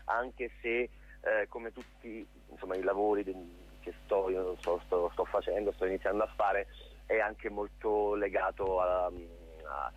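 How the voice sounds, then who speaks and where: A man giving a casual, unscripted interview as a theatre director, halting and often repeating his words. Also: deep voice, fairly even intonation, slightly rough, muffled recording. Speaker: theatre director, radio guest.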